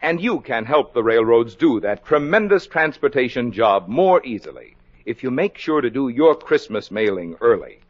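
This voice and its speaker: A man's voice: mid-range voice, very animated, normal speed. Formal 1950s radio announcer man, swooping delivery. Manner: formal, emphatic. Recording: dull vintage 1950s radio recording.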